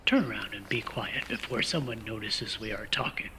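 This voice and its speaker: A man's voice: on the low side, moderate pace, bright, forceful tone. A man playing a spy in a comedic radio play, on a noisy recording. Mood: stern, exasperated. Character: comic pair of male spies, one stern, one dim.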